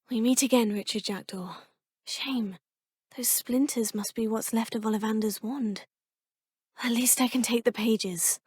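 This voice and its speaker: A woman's voice: a little high, a little mellow, husky, dry recording, studio quality. A young woman voicing a polite, curious witch student in video game voice lines, composed and often musing to herself. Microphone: close, dry studio mic.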